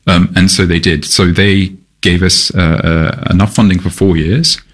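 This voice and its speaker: A man from Malaysia, a seed bank scientist, answering interview questions in a thoughtful, halting way with many pauses and restarts. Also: low-pitched, steady tone.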